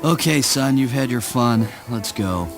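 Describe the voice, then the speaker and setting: An actor playing a weary, morbid, dismissive father with deadpan theatrical delivery in a spoken word play. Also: mid-range voice, measured pace, creaky, music in the background. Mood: weary, irritated.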